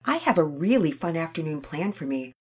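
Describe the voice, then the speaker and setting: A woman's voice: deep voice, sing-song, brisk, breathy edge, full character voice. A woman voicing a gracious, playful mother in a children's audio story. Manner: warm, teasing.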